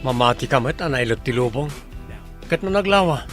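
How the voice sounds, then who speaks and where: A man's voice: mid-range voice, expressive, a little fast, soft background music, natural voice. An actor playing a brooding male boss searching for a woman in a radio drama. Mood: restless.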